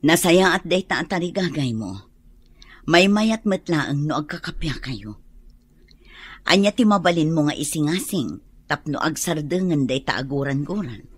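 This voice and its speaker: A concerned older female mentor in a radio drama. Her very low, husky voice moves widely in pitch as she probes and encourages. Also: lively intonation, normal speed. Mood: probing, encouraging.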